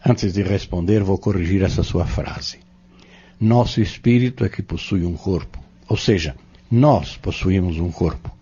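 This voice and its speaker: A man playing a patient, earnest Spiritist teacher in an audio drama, explaining step by step in a measured, didactic way. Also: low-pitched, warm tone, husky. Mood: earnest, explanatory. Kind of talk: scripted audio drama dialogue. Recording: dull lo-fi audio.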